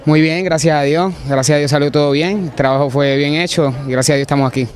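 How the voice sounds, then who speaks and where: A man's voice: on the high side, steady tone, quick pace. A middle-aged man, a jockey giving brief, grateful answers outdoors at a racetrack on a handheld mic. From Puerto Rico. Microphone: handheld mic held by interviewer.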